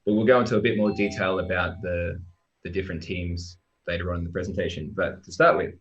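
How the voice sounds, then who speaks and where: A man presenting his team's software work on a live-stream, relaxed and chatty with lots of ums. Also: deep voice, quick pace, muffled recording. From Australia.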